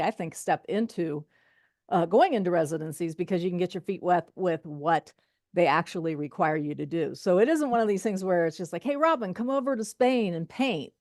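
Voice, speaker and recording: An older woman hosts an interview podcast with a fairly low voice. She chats casually, encourages her guest and laughs easily. The recording sounds dry and close. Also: darkish tone, no room sound. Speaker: podcast host.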